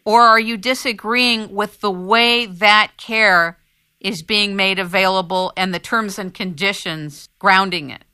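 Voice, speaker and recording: A woman's voice: fairly high pitch, sharp tone. A woman hosting an interview, asking probing questions in an emphatic, rhetorical way. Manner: emphatic, rhetorical, builds repeated lists. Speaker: interview show host.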